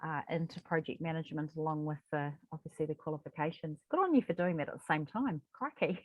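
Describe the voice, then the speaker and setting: Low-voiced woman interviewer, encouraging. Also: quick pace, no echo, narrow, tinny audio. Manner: effusive, encouraging. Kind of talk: casual interview.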